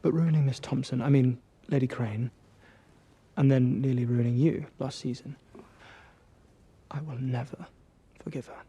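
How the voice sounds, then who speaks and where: An actor playing an aggrieved young gentleman in a period romance drama, grave and resentful in manner. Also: mid-range voice, fairly even intonation, moderate pace, long pauses, slightly rough, natural voice. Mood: bitter, resolute. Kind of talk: period television drama acting.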